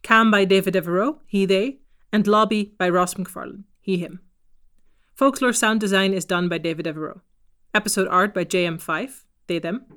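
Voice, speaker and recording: A woman's voice: medium pitch, moderate pace, a bit bright, smooth. A woman reading out scripted end credits for a podcast as its writer and performer.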